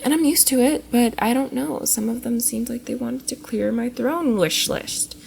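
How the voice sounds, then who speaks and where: Playful young cosplayer girl, soft and crackly, woman acting. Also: fairly high pitch, normal speed. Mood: chatty, wistful. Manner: giggly, coy, often dropping into soft breathy murmurs. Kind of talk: ASMR roleplay, intimate one-sided dialogue to the listener.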